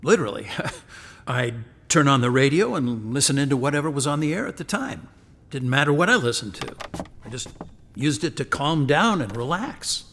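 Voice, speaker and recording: A man's voice: medium pitch, big pitch swings, moderate pace, a bit bright, slight background noise. A man playing a wisecracking ghost of a late husband in a radio drama, breezy and joking. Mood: wry. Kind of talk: contemporary radio drama acting. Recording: long reverb, music behind.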